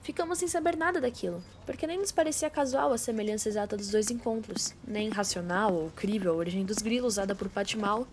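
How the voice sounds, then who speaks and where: A woman's voice: medium pitch, quick pace, few pauses. A young Brazilian woman reading scripted, old-fashioned literary narration for an amateur radio drama. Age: teenager or young adult. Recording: faint background noise.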